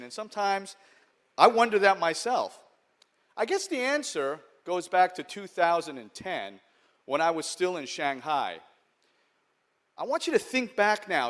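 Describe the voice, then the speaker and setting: Keynote speaker: middle-aged American man, New York accent, slightly high, chatty and joking. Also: a bit bright. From United States. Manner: conversational, humorous, checks in with "okay?". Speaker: venture capital investor and keynote speaker. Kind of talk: conference keynote speech. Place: large conference hall.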